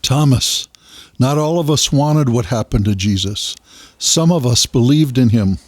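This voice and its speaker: A gentle biblical scholar voiced by a man in an audio drama. His mid-pitched voice is recorded dry and close. He speaks gravely and reflectively, with measured care. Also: dry recording, close to an everyday voice. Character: Nicodemus, gentle reflective male Sanhedrin scholar.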